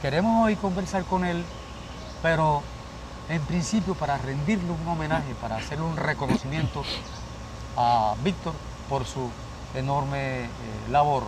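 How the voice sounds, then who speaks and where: A man hosting a cultural talk program, speaking in a formal, presenter-like way. His voice is fairly high for a man, and there is clearly audible noise behind him. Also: unhurried. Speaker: interview host. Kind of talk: program introduction and interview questions.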